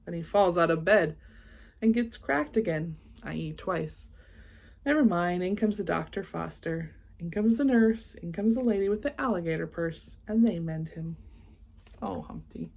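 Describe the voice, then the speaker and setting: Lady with a lowish husky voice reading a kids book out loud, expressive, chatty little asides. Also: moderate pace. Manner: expressive storytelling with casual, enthusiastic asides. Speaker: read-aloud host. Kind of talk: children's picture book read-aloud with chatty asides.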